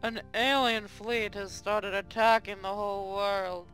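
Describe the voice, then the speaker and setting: A woman's voice: medium pitch, steady tone, measured pace, a bit bright, clear, resonant tone, soft background music, close to an everyday voice, rough recording. A woman playing an earnest space navy officer in a visual novel read-aloud.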